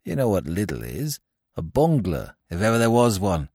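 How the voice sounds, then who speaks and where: A man reading aloud puts on a sly young gambler with a low, hushed, insinuating voice, dropping well beneath the narration and softer in level. He needles and hints with smooth, unhurried menace, in a dry, close recording. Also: wildly swooping pitch, dry recording, exaggerated voice.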